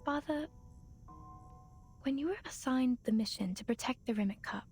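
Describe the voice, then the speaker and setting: A young woman in a story-driven video game, plucky and wry with an undertow of grief for her father. Her voice sits a little high, and music plays clearly underneath. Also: normal speed, darkish tone. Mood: reflective, confiding.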